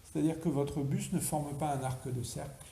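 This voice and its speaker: A man with a deeply mellow, relaxed tone leads a yoga nidra session. He gives instructions slowly and deliberately, leaving space between phrases. The recording is noticeably roomy, with faint noise underneath. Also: vocal fry. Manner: slow, deliberate, instructing with pauses. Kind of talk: guided relaxation meditation.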